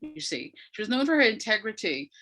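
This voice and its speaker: Bright-voiced woman explaining thoughtfully. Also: a little high, sing-song, brisk, low-quality recording. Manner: explanatory, thoughtful, searching for words. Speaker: academic and audio dramatist, interview guest. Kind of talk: reflective conversational explanation.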